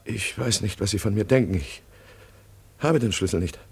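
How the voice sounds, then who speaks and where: An actor playing a harried, troubled provincial doctor, apologetic and pleading, in a 1950s German radio play. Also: male voice, fairly low pitch, normal speed, darkish tone, breathy edge. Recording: noisy 1950s radio recording.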